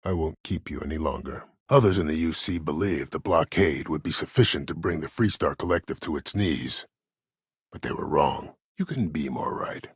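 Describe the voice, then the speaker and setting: Proud old fallen war admiral, game dialogue, super low husky voice, calculating and measured, bone dry sound. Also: male voice, expressive, no room sound, heavily acted. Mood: measured, grave. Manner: formal, measured, coolly authoritative. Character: disgraced imprisoned former war admiral, cold, proud, calculating. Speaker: video game voice actor. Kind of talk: dramatic video game dialogue.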